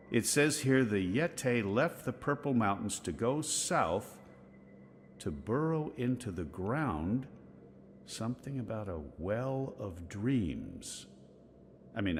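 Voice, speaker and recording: A man's voice: low voice, a little hiss or hum, heavily acted. An actor playing a scholarly, curious, dry-witted older man in a video game, musing in a bookish way. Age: middle-aged. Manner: bookish, musing, exclaiming over finds. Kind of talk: video game dialogue acting.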